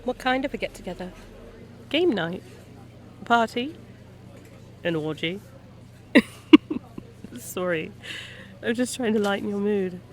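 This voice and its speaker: A woman acting in an intimate audio roleplay as a kind, gently teasing private eye, talking softly-concerned and conversational to a stranger. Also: medium pitch, animated, unhurried, long pauses, a little hiss or hum. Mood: gently probing. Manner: soft-hearted, hesitant, questioning, playful asides.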